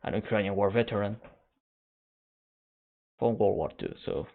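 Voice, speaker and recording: Phone-like, halting male stream commentator. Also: fairly low pitch. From Canada. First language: Spanish. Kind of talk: casual stream chat while waiting for a match. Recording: narrow phone-like band.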